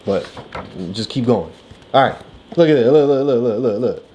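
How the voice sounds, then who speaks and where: Very deep-voiced woman coaching a child. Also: lively intonation, moderate pace, dark tone, highs cut off, slight background noise. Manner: encouraging, lecturing, frequent "right?" check-ins. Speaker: parent discussing a picture book with a child. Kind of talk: casual coaching talk about a story.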